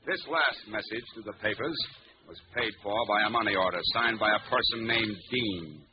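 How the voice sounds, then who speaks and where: A man acts as a gruff, bossy American police commissioner with a low voice, sure of himself. It is 1940s radio drama, muffled with clear hiss and noise. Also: normal speed, lightly acted. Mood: smug. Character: gruff, overbearing, self-assured male police commissioner. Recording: muffled, noisy old radio recording.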